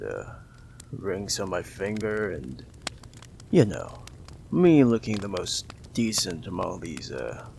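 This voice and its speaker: A man voicing a smug, possessive mafia boss in an audio roleplay, teasing and condescending, with a gravelly drawl and long pauses. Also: slight background noise, heavily acted. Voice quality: low, gravelly, drawling delivery with drawn-out pauses. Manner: teasing, condescending, calls listener darling.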